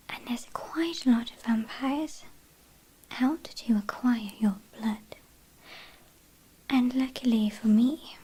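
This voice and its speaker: A British woman playing an ancient, possessive, haughty vampire in a whispered ASMR roleplay, speaking softly with quiet menace and condescension. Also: on the high side, heavily acted, poor audio. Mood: possessive, menacing. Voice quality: soft breathy whisper.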